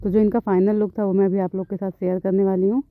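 A woman beautician calmly explaining eyebrow threading step by step, as she works, often repeating her phrases. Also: mid-range voice, quick pace, dry recording, narrow, tinny audio. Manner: calm, instructive, repeats phrases. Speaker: beautician, channel host. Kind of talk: step-by-step tutorial narration.

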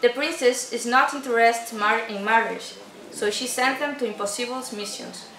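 Young woman, scripted puppet-show narration, somewhat high pitch, echoey busy room. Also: normal speed, bright, forceful tone. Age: young adult. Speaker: student narrator. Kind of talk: scripted storytelling narration, read aloud. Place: indoor room at a day care centre. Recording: echoey room, clatter of crowd and dishes.

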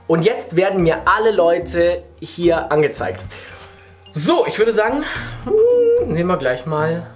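A man's voice: high voice, big pitch swings, unhurried, faint music behind. A man in his twenties talking casually to camera as the host of a prank video. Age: 20s. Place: small living room, indoors.

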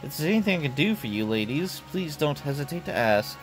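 A man voicing a polite, courtly male knight in a game who welcomes guests in a formal, measured way. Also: fairly high pitch, big pitch swings, soft background music. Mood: courteous.

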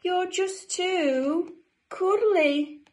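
Woman acting clingy young penguin sibling, storybook, high-pitched, sing-song, pleading. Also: extremely slow, slight background noise, full character voice. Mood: eager pleading.

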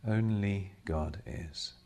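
Low male voice doing guided meditation, super slow, solemn, lots of long pauses. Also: warm tone. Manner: measured, solemn, deliberate short phrases with long pauses. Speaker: spiritual teacher leading guided meditation.

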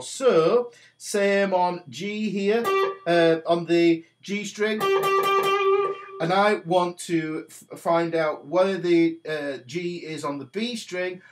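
A man in his forties with a stuffy nose from a cold, casually teaching a guitar lesson from a small home studio while he plays. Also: a little high. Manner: chatty, talks while playing guitar. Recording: guitar notes under the voice. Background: guitar playing.

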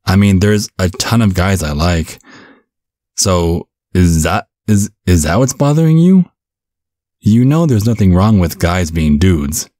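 A man playing a caring, bumbling vampire father in an ASMR roleplay, speaking gently with a stammering, reassuring manner. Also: low voice, expressive, unhurried, no echo, clean studio sound. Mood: lighthearted, puzzled. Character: caring, bumbling vampire father, looks twenty-five, over a century old.

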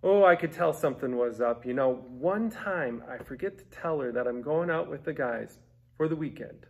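A man's voice: medium pitch, moderate pace, vocal fry, slightly rough. A middle-aged man presenting a theatrical comic introduction in a hammy, playful way. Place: small furnished room indoors.

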